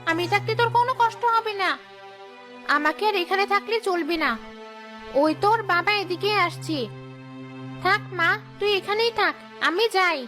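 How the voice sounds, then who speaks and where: A woman's voice: very high-pitched, moderate pace, long pauses, pushed and bright, faint music behind. A woman voicing a doting, vengeful female ghost mother in a children's cartoon story, fussing and talking to herself. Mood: tender, protective.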